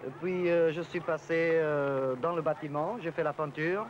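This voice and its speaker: High-voiced man, hesitant speech. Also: highs cut off. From Portugal. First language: Portuguese. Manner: hesitant, many pauses and fillers. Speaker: interviewee. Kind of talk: interview answers. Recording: noisy outdoor location sound.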